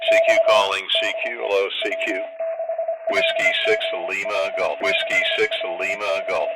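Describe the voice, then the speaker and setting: A heavily noisy shortwave transmission carries the deep voice of a man. He calls for contacts in the clipped formula of an amateur radio operator. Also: fairly even intonation, moderate pace. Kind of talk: radio contact calling with phonetic call sign. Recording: very noisy ham radio signal.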